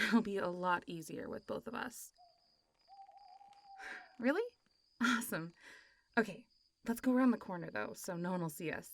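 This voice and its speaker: A playful zombie girl, voiced by a woman in an intimate roleplay audio. She chats casually at a medium feminine pitch, with a giggly, teasing manner. Her delivery is expressive and good-humored, with a mildly sweary edge. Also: normal speed, pauses often, natural voice. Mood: friendly, teasing. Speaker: voice actor.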